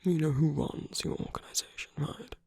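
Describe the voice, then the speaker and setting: Casting: suave, teasing male villain, played by a man for ASMR roleplay; dark, creaky, breathy murmur, intimate and unhurried. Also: on the high side, steady tone, dry recording, clean studio sound, heavily acted. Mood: scornful, persuasive. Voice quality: soft, breathy. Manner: slow, teasing, murmuring, often dropping into whispers.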